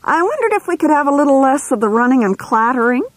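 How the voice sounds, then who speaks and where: A woman's voice: medium pitch, sing-song, normal speed, husky, no room sound, voice pushed up. A woman reading aloud, voicing a bossy, falsely polite middle-aged nurse who scolds and condescends in a sing-song way. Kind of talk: audiobook reading.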